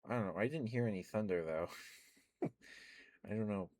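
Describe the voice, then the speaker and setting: A young man, an ASMR streamer, rambling softly in casual chat with frequent chuckles. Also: on the low side, normal speed, a little hiss or hum. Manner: soft-spoken, rambling, chuckles often.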